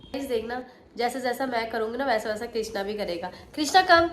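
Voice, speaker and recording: A young woman, a mother, chatting to the camera at home in a casual family vlog and coaxing her toddler, her voice hoarse from a cold. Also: on the high side, fairly quick, a little hiss or hum. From Himalayan hill region of India.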